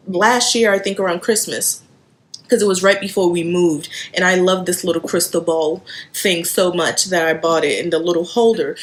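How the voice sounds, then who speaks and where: A woman in her late thirties, originally from Haiti and not a native English speaker, chatting enthusiastically to viewers while showing off her thrift store finds. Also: lowish voice. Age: 30s. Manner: chatty, enthusiastic, addresses viewers as you guys. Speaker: home decor vlogger. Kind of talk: casual thrift haul show-and-tell.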